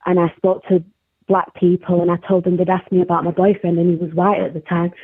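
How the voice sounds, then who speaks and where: A woman's voice: fairly low pitch, little pitch movement, quick pace, breathy edge. A woman with a South Yorkshire accent calling into a live talk show, chatting casually and laughing often. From South Yorkshire, England. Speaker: caller. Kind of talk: casual chat. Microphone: phone call. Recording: tinny phone line.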